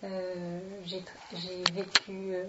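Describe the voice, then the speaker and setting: A woman's voice: fairly low pitch, completely flat delivery, slow pace. A woman in her late twenties with an accent from France, talking casually and hesitantly as she introduces herself in an interview. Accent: French, from France. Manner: informal, hesitant, thinking aloud. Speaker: interviewee, actress and children's entertainer. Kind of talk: casual spoken self-introduction. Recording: roomy, dull, distant microphone, noisy.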